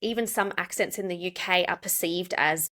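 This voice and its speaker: An Australian woman, a pronunciation coach, explaining clearly and steadily as a podcast guest. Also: lowish voice, bright, forceful tone. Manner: explanatory, measured. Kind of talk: podcast interview.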